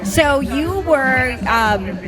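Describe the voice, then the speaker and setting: A woman's voice: sits high, expressive, very slow. A woman hosting her show outdoors in a backyard, chatty and upbeat as she interviews a guest. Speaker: host. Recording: loud music and crowd behind.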